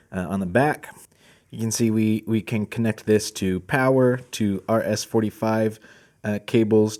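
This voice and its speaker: A man with a fairly low, mellow voice hosts a casual product unboxing. His tone is soft-edged and relaxed as he presents each item in an informal explanatory style. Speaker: host of a product channel.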